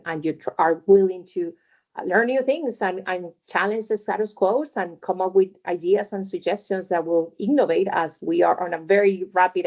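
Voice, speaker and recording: A woman's voice: on the low side, darkish tone. Woman on a muffled call. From Venezuela. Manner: conversational, frequent fillers and "right?" tags. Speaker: webinar panelist. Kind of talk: career talk on a video call.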